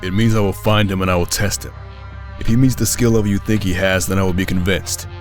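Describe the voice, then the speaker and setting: A man's voice: deep voice, steady tone, quick pace, soft background music, lightly acted. A man playing a stern, skeptical guardian in an audio drama, scolding and exacting. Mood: coldly resolute. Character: Dart, stern, critical, skeptical male guardian.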